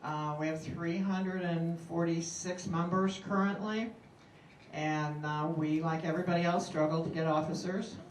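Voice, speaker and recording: A woman giving an informal report at a club meeting, rambling with many fillers and pauses. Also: on the low side, steady tone, slight background noise. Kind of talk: casual spoken report. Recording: roomy hall, speaker far from mic.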